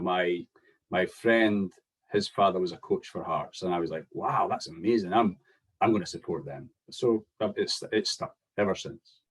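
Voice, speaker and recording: Scottish guy in his 40s, low husky voice, chatty, laughs a lot, stumbles into sentences. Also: rough recording. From Scotland. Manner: chatty, laughs often, repeats words as he starts sentences. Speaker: golf club head of operations, guest. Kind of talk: casual podcast chat.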